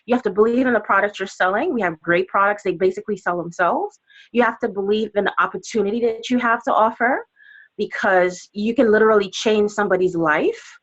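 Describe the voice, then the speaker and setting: A woman with a Trinidadian accent coaches a sales team over a webinar in an energetic, chatty way. She fills her talk with "you know" and acts out little quotes. Her voice sits at a middle pitch, and the call audio is slightly dull. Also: fairly quick. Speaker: guest trainer on a team webinar.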